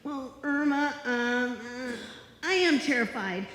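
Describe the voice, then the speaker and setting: A woman plays a sensible, easily flustered young roommate in a radio comedy. Her high voice jumps around with exasperation and rings through a large, echoing hall. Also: lively intonation, slow, fairly bright tone. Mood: nervous, insistent. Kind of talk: live old-time radio comedy acting. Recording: echoey hall, live stage performance.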